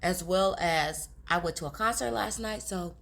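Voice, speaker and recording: A young woman with a raspy, hoarse voice, chatting casually to her viewers about relationships. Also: fairly low pitch, moderate pace, fairly bright tone. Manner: chatty, confiding, addresses viewers as girls. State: hoarse from talking all day. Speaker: relationship advice vlogger. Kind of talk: casual advice talk to camera.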